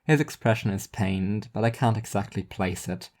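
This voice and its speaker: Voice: deep voice, normal speed, darkish tone. A narrator reading scripted first-person literary fiction in an introspective, wry manner.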